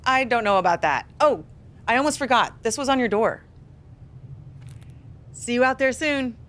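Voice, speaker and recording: A woman's voice: on the high side, animated, normal speed, a bit bright. A woman playing a friendly, supportive veteran wrestler in a video game cutscene, speaking plainly and casually.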